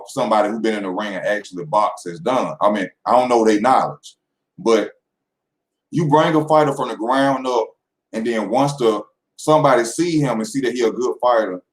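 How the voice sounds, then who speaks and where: A man in his twenties chats to his audience in a loose, rambling way, full of slang and asides. His voice sits at an ordinary pitch for a man. The room around him adds a clear echo. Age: 20s. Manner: loose, rambling and slangy, addressing viewers directly. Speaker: boxing channel host. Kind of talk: casual vlog monologue. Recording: echoey room.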